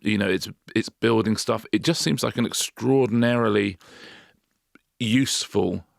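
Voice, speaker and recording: A man co-hosting a podcast in casual chat, given to wry, quick quips. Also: on the low side, gravelly, dry recording, studio quality. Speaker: podcast co-host.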